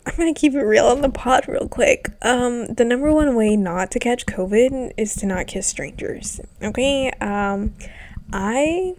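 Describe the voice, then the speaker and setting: A woman's voice: on the high side, animated, a little slow, a little mellow. Congested young woman rambling casually. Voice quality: stuffy nasal. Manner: rambling, swearing, lots of ums. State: congested, sick with covid. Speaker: vlogger. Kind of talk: casual personal chat.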